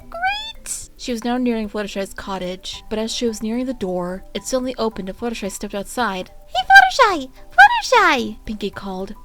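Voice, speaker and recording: A woman reading a fan fiction story aloud as an expressive narrator. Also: mid-range voice, quick pace, few pauses, over loud music.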